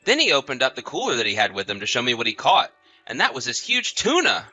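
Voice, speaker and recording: A man's voice: mid-range voice, wildly swooping pitch, fast talker, bright, forceful tone, dry recording, soft background music, heavily acted. A man playing an easygoing, sociable husband in chatty, casual audio drama dialogue. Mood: easygoing, animated. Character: easygoing husband, sociable, placating, mildly defensive.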